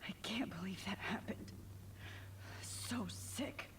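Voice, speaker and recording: An actress playing a determined teenage student held captive in a video game, speaking with hushed urgency. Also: female voice, mid-range voice, slow pace, whispered. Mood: shaken, resentful. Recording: noisy game capture.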